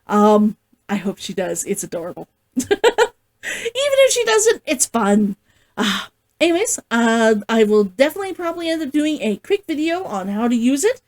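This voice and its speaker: A woman with an expressive way of talking, hosting a casual read-along as an author. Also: sits high. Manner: giggly, gushing, expressive. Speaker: host, author reading along. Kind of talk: casual livestream chat and novel read-aloud.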